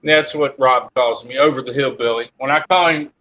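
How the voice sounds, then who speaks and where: A man's voice: medium pitch, fairly even intonation, moderate pace, pushed and bright. A man in his fifties on a live stream panel, joking and teasing in casual chat, laughing often. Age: 50s. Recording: dull lo-fi stream audio.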